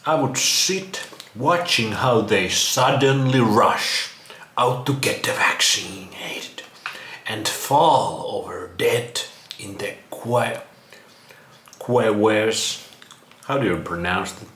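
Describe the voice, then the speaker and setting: A man's voice: fairly low pitch, lively intonation, slow pace, a bit bright. A man with a Finnish accent giving casual, sarcastic reaction commentary, mocking and crude in his wording. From Finland. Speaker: vlog host. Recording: roomy echo, some background noise.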